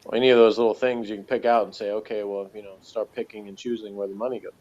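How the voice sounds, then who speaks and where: A man chatting casually as a review co-host, talking in a wordy, halting, rambling way. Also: fairly low pitch, flat delivery, darkish tone, slight background noise. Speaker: podcast co-reviewer. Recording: dull, lo-fi sound.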